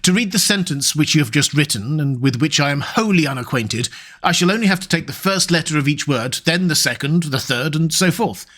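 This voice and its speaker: A man's voice: on the high side, animated, brisk, a bit bright. A man voicing a stern, absent-minded old professor who speaks brusquely and emphatically. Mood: lecturing.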